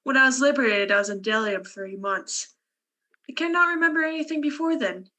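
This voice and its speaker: A woman's voice: high-pitched, animated, brisk, sharp tone. A woman playing a reserved, detached scientist and doctor in a radio play. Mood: guarded. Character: reserved, detached female scientist, war survivor.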